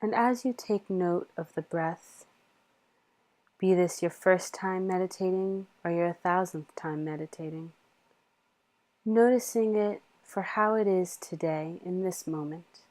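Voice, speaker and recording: A woman leading a guided meditation in a soothing, measured manner with long pauses. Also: lowish voice, a little mellow.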